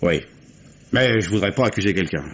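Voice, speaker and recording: An actor playing a gruff, guarded old oyster fisherman in a 1950s radio drama, talking in a folksy, grumbling way. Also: deep voice, animated, a little fast. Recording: muffled old radio recording with faint hiss.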